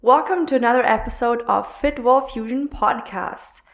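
A woman's voice: on the high side, moderate pace, gravelly. Curious woman podcast host. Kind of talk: casual podcast chat.

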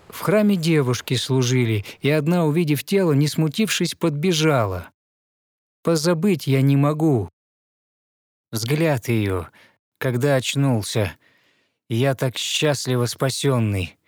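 A man voicing an affectionate, wistful young prince in a lyrical, measured storybook manner, speaking in verse. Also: on the high side, darkish tone, no echo, studio quality, natural voice. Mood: wistful devotion. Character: young prince, affectionate, earnest, wistful, fairy-tale hero. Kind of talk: verse fairy-tale storytelling.

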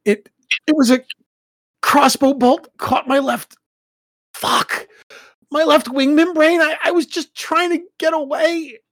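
A woman voicing a wounded young shapeshifter man, crying in pain with a halting, breaking voice. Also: on the high side, animated, fairly bright tone, breathy edge, dry recording, voice pushed up, heavily acted. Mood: pained, tearful.

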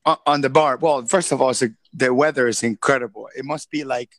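A man's voice: mid-range voice, fairly even intonation, brisk, fairly bright tone, dry recording. A man co-hosting a podcast from the edge of his garage over a phone headset, laid-back and rambling. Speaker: podcast co-host. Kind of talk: casual live-stream chat. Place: edge of a garage, outdoors nearby.

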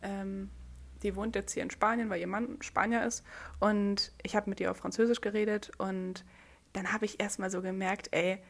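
A woman's voice: mid-range voice, little pitch movement, normal speed, vocal fry. Young German woman, solo podcast host, casual and giggly, lots of fillers. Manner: chatty, giggly, with many fillers and restarts.

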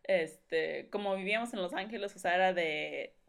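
A woman's voice: lowish voice, moderate pace, creaky, fairly bright tone. A young woman from Mexico, a podcast guest telling her life story in a rambling way full of fillers. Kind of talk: casual conversational storytelling.

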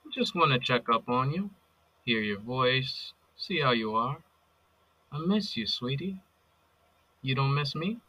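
An actor with an American accent playing a possessive, manipulative ex-boyfriend in an audio drama, coaxing and volatile. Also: male voice, medium pitch, big pitch swings, unhurried, long pauses, pushed and bright, heavily acted, poor audio. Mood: sweet, coaxing. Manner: volatile, coaxing with pet names, swearing. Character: possessive, manipulative abusive ex-boyfriend, pleading and menacing.

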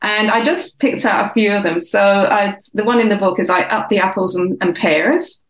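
Older English woman, softened London accent, rambling guest storyteller, roomy echo. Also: medium pitch, moderate pace. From England. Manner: reflective, rambling with many restarts. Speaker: author and guest. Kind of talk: casual interview chat.

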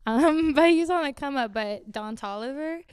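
A woman's voice: high voice, lively intonation, moderate pace, a little mellow, dry recording, clean studio sound. A young woman from Houston chatting as a podcast guest, filling her talk with "like" and laughing often. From Houston, Texas. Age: young adult. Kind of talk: casual interview chat.

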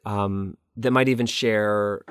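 A man's voice: fairly low pitch, steady tone, slow, fairly bright tone, clear, resonant tone, no room sound, studio quality. A man chatting casually and enthusiastically as a guest in an interview. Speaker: interview guest, audio drama creator.

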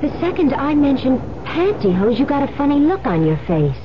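A woman's voice: high-pitched, big pitch swings, a little fast. Frightened young woman, dated noisy radio recording. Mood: uneasy. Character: frightened young bride under police guard, wary and protesting. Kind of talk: old-time radio drama acting. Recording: dull, noisy old radio broadcast recording.

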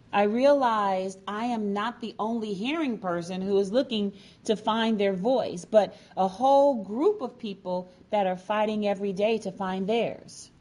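A woman giving a prepared, inspirational personal story in earnest, deliberate phrases with pauses. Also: medium pitch, darkish tone. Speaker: featured storyteller, sign language educator and advocate.

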